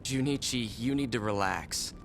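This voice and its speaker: A man's voice: medium pitch, moderate pace, gravelly, background noise, lightly acted. A man playing an arrogant, short-tempered young gang heir in a video game cutscene, curt and condescending.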